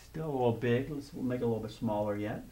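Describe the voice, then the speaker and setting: Man narrating a software demo. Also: medium pitch, fast talker. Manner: steady step-by-step explaining with small restarts. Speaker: software demonstrator. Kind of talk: software tutorial screencast narration.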